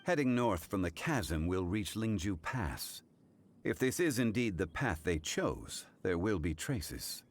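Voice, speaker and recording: Composed scholarly game gentleman, very low voice, courteous and measured, expressive intonation, music underneath. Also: heavily acted. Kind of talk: video game voice acting.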